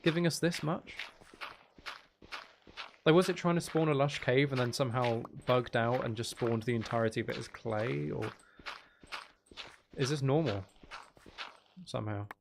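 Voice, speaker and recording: A man's voice: medium pitch, moderate pace, creaky, long pauses, noisy background. A man giving casual, rambling gameplay commentary, thinking aloud as he plays. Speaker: gaming video creator playing Minecraft.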